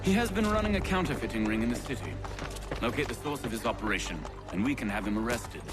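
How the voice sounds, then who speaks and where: A man's voice: mid-range voice, sing-song, fast talker, loud music behind the voice, natural voice. A man playing a confident, friendly young revolutionary ally in a video game, speaking in an assured, articulate way. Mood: businesslike.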